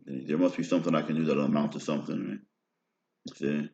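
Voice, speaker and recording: A man's voice: a bass voice, steady tone, normal speed, gravelly, dark tone. A man in his thirties with an American accent, talking in a meandering personal monologue and often checking in with "right?". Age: 30s. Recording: roomy, dull lo-fi recording.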